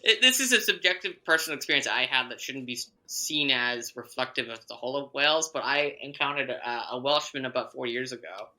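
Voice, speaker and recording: Man, podcast host, casual chat, rambling, fillers, very bright tone. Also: mid-range voice, expressive, a little fast, hardly any pauses.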